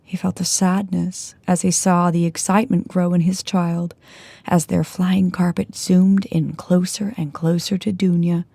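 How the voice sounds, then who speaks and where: A woman narrator reading a scripted fairy tale in a measured storybook delivery, with phrase endings falling to a hush. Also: fairly low pitch, vocal fry, no echo. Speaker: audiobook narrator. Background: music.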